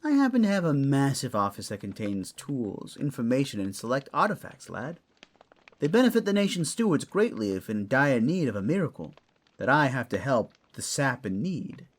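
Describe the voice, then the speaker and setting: Roguish raccoon librarian played by a man, teasing and fussy, highly animated delivery for fantasy audio theater. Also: normal speed. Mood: smug, teasing. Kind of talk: audio fantasy drama acting.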